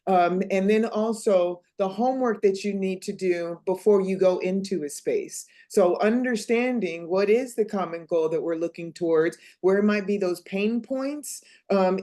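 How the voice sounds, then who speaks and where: A woman's voice: mid-range voice, a little slow, few pauses, dark tone. A woman speaking earnestly in a casual video-call discussion, steadily summarizing what her small group talked about. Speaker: fellow reporting back from a small group.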